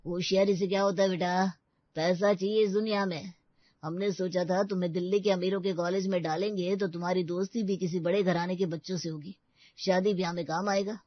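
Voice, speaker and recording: A woman's voice: on the low side, steady tone, brisk, no room sound, lo-fi sound, natural voice. A woman voicing a stern, snobbish, money-minded middle-aged father in a story drama. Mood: disapproving. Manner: stern, dismissive. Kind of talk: acted story dialogue.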